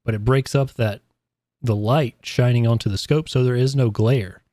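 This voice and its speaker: A man with a mellow, mid-pitched voice, co-hosting a podcast. He argues his opinions firmly but stops and restarts as he thinks. His voice is recorded dry and close. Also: fairly even intonation, normal speed, dry recording. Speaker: podcast co-host. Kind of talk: casual chat.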